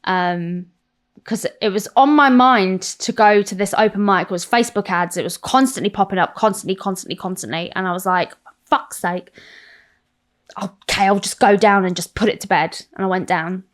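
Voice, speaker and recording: An English woman in her thirties talks frankly about her own life as a podcast guest. She tells it with animated, self-mocking energy and swears freely, in a voice that sits in the middle of the range. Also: mid-range voice, moderate pace. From South London. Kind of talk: candid interview chat.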